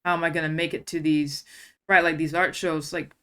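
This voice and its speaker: A woman's voice: low voice, level tone, fairly quick, bright, forceful tone, clean tone. A woman hosting a casual chat, retelling moments by acting out what was said. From USA. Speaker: host.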